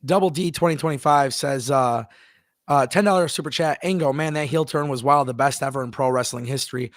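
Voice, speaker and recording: A man's voice: mid-range voice, fairly quick, no echo, clean studio sound. A man hosting a live wrestling talk stream, speaking emphatically and reading out viewer messages. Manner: emphatic, repeats words, reads viewer messages aloud. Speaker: wrestling show host. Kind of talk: casual live-stream chat.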